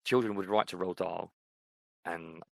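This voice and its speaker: Male podcast co-host, deep voice, dry close mic, thoughtful and meandering. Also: a little slow, vocal fry, a little mellow, dry recording. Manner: thoughtful, rambling with fillers and restarts. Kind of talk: casual chat about books and fiction.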